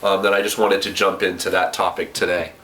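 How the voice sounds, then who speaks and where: Young male vlogger, slightly low voice, stuffy with a cold, rambling friendly advice from his kitchen. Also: level tone, fairly quick, a bit bright. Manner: chatty, many ums and self-corrections. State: nasal from a cold. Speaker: vlogger giving application advice. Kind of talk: casual advice vlog.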